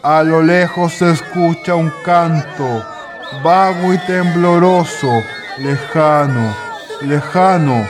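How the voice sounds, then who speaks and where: A mournful male poet played by an actor recites in a fairly high, plaintive voice. His delivery is slow and drawn out, as in a radio drama, over crowd noise. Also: music in the background. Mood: melancholy. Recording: noisy, with outdoor crowd ambience. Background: crowd, outdoor town ambience.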